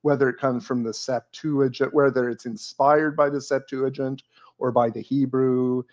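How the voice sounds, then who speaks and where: A man's voice: mid-range voice, little pitch movement, normal speed, darkish tone, dull audio. A man co-hosting a casual theology discussion, explaining points carefully with frequent fillers and repeated words. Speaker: podcast co-host.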